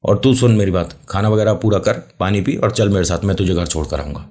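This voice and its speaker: A man voicing an elderly village uncle who urgently and insistently scolds a youngster for being out in the dark. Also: low-pitched, a little fast, natural voice.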